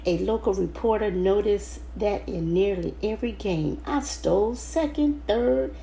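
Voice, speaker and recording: A woman reading a children's book aloud in first person, halting now and then over the words. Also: mid-range voice, creaky, warm tone, a little hiss or hum. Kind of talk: storybook read-aloud narration, first person.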